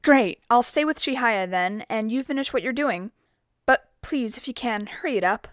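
A woman's voice: fairly high pitch, animated, normal speed, dry recording, studio quality, close to an everyday voice. A woman voicing a blunt, skeptical, slightly impatient schoolgirl in a horror game fan dub, with a casual, frank manner. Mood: concerned.

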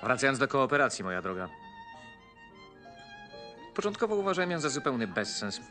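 An actor playing a reserved male fiancé in a period television drama, speaking in a measured, somewhat cool way. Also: medium pitch, sing-song, bright, forceful tone, soft background music. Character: reserved male fiancé, opinionated about politics.

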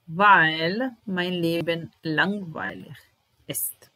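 A woman in her thirties with a Serbian accent, teaching German slowly, repeating each phrase while typing, in a small room at home. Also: fairly low pitch, sharp tone, dry recording. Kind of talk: slow, deliberate language lesson.

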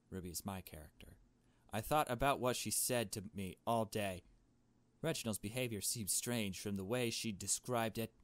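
A man hosting a gaming video, reading aloud casually with irreverent, teasing asides. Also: low voice.

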